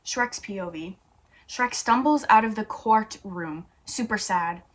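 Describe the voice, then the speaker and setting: A woman's voice: mid-range voice, measured pace. A woman hosting a comedic read-aloud, playful and mock-dramatic, narrating a story and dropping in her own asides. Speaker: YouTube host.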